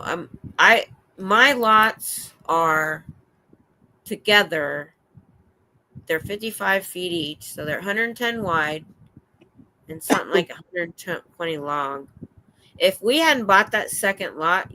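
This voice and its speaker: An older American woman hosting a livestream, chatting in a rambling, excitable way. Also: on the low side, low-quality recording. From United States. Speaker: livestream host. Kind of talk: casual chat. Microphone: streaming setup.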